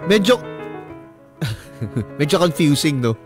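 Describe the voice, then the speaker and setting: Lively, higher-voiced Filipino male radio host. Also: takes it slowly. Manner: inquisitive, informal. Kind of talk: casual radio interview. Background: background music.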